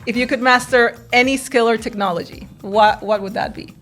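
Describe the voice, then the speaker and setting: A woman's voice: fairly high pitch, steady tone, a little fast, a bit bright, soft background music. A woman from Peru hosting an interview, chatty and affirming, who often tags her sentences with "right?". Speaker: interviewer host. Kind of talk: casual interview conversation.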